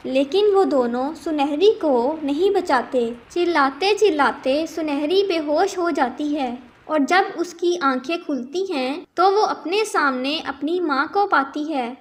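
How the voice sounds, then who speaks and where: A woman's voice: high voice, measured pace, few pauses. A woman narrating a scripted children's cartoon story.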